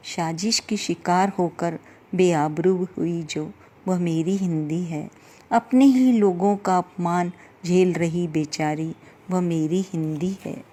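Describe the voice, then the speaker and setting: A woman reciting a poem with slow, impassioned delivery at a Hindi day event. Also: on the low side, warm tone. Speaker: poetry reciter.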